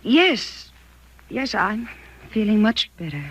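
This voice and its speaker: An actress playing a wealthy, trusting and anxious young wife in a 1940s radio thriller. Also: female voice, medium pitch, very animated, slow pace. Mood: uneasy, bewildered. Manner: earnest, dramatic phrasing. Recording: noisy old 1940s radio recording.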